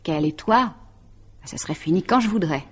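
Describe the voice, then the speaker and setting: An actress playing a calculating, possessive middle-aged woman in a radio mystery drama, speaking in a taunting, deliberate, self-satisfied way. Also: on the low side, lively intonation, husky. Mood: smugly triumphant.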